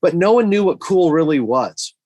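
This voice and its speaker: A man's voice: on the high side, very animated, moderate pace, darkish tone, no room sound, studio quality. A middle-aged man co-hosting a podcast, chatting casually and offering commentary. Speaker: podcast co-host.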